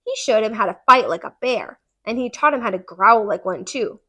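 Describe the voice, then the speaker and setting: A woman narrating a children's storybook aloud in an expressive, deliberate storytelling manner. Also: on the high side. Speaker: tutor reading aloud.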